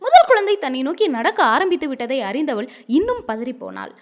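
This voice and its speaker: High-pitched, animated woman storyteller. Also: fast talker. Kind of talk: scripted narration of a moral story.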